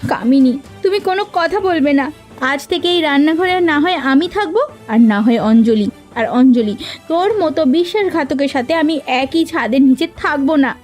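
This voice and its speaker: A woman's voice: high-pitched, moderate pace, a little mellow, soft background music, lightly acted. A woman voicing a proud elder sister who runs a village kitchen, speaking in a stern, accusing way in a cartoon drama. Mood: angry, accusing. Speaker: voice actor.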